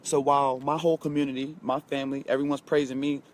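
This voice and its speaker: A man's voice: mid-range voice, level tone, quick pace. A man in his twenties giving a casual motivational talk, emphatic, repeating himself and speaking straight to his viewers. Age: 20s. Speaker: motivational vlogger.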